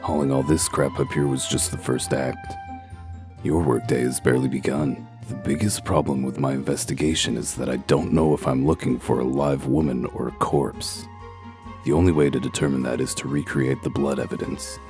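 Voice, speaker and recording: A man voicing a gruff, blunt wolf detective in human guise, curt and sardonic, for a comic dub. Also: very deep voice, little pitch movement, normal speed, darkish tone, soft background music. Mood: gruff, commanding. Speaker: investigator. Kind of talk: comic dub character acting.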